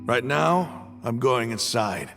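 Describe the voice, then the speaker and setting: Game role: resolute devout male, gravelly, slow, animated pitch. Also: faint music behind, exaggerated voice. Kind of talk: video game voice acting.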